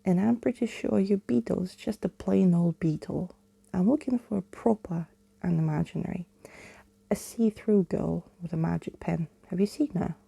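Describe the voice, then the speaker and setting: A woman's voice: fairly low pitch, moderate pace, vocal fry, dark tone, husky, full character voice. A woman voicing a proud, huffy, scheming girl in a curt, whispery children's storybook reading. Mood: haughty.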